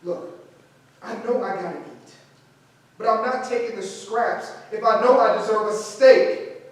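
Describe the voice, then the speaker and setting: Man acting Edward, a high-voiced, proud American idealist. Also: measured pace. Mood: impassioned, firm. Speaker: actor in a staged reading. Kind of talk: theatrical stage dialogue. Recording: very echoey hall, distant microphone.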